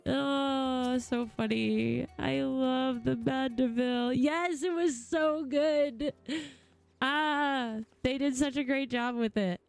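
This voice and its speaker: A woman's voice: high voice, fairly even intonation, slow, vocal fry, smooth. A woman game streamer reacting casually on a livestream in a gushing, goofy, playful way. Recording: faint music behind.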